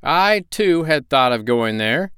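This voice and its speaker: A man's voice: mid-range voice, expressive, takes it slowly, fairly bright tone, clean tone, no echo, studio quality, voice pushed up. A man voicing a solemn, gloomy male wayfarer in a bedtime story, speaking in a mournful, old-fashioned way.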